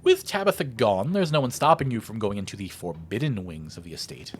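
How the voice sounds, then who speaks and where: A man's voice: lowish voice, brisk, slight background noise. A man narrating a video game playthrough, reading the text aloud theatrically and adding his own casual commentary. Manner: expressive, theatrical read-aloud delivery. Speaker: let's play host.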